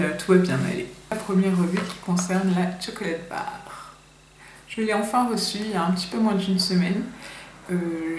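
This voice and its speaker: A woman's voice: medium pitch, darkish tone. Young woman from France, chatty, slightly nervous, beauty vlog, roomy echo, faint hiss. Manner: chatty, nervous first-timer, many fillers. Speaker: beauty vlogger. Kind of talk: casual makeup review to camera. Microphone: camera mic. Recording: echoey room, faint hiss.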